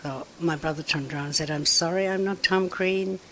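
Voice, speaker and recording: Irish woman, low register, relaxed raconteur who runs a pub. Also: brisk, a little hiss or hum. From Ireland. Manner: chatty, many "you know" asides. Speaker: pub owner. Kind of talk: relaxed storytelling interview.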